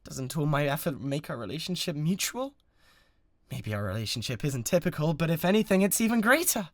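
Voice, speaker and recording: A young British man voicing an obsessive, menacing young male streamer in an ASMR audio drama, swinging between whispers and shouts. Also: fairly high pitch, very animated, a little fast, no echo, studio quality. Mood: fervent. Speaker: voice actor.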